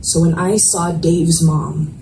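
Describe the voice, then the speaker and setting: A woman reading romance fiction aloud as expressive first-person narration, with dramatic pauses, at a live event. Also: lowish voice. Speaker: reader of a romance novel. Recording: echoey room, distant mic, noisy ambience.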